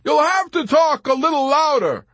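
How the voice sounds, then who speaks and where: A man's voice: very high voice, wildly swooping pitch, slow pace, pushed and bright, dry recording, voice pushed up, full character voice. A man voicing a placid, oblivious navigator in an audiobook, speaking with innocent, mildly reproving puzzlement. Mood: innocent perplexity. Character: placid, oblivious, grinning moon-faced male navigator. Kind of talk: audiobook character acting.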